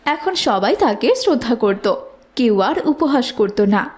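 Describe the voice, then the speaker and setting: A woman's voice: on the high side, very animated, measured pace, darkish tone. A woman working as narrator, reading a scripted moral tale.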